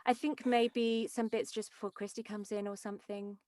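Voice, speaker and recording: A woman's voice: mid-range voice, little pitch movement, moderate pace, darkish tone, no room sound, clean studio sound. A woman leading a script table read, reading stage directions and discussing them informally.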